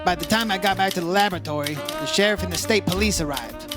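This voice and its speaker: A man playing a brisk, hard-boiled insurance investigator in an old-time radio detective drama, terse and matter-of-fact. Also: high voice, music in the background, close to an everyday voice. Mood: matter-of-fact narration.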